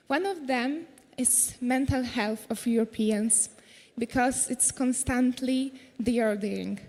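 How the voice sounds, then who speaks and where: A woman's voice: on the high side, darkish tone, big-room sound. Nervous woman on a big stage. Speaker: pageant contestant. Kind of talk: prepared stage answer.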